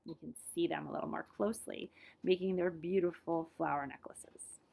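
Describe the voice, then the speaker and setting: A woman reading a children's picture book aloud in an expressive, dramatized way, dropping to hushed phrase endings and adding short asides. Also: on the low side, normal speed, gravelly.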